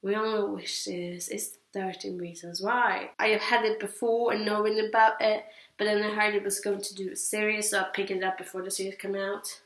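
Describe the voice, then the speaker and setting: A woman's voice: medium pitch, little pitch movement, normal speed. Echoey young Swedish woman chatting casually. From Sweden. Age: teens to young adult. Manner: hesitant, rambling, repeats herself. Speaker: book reviewer. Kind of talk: casual vlog chat. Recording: echoey, roomy sound.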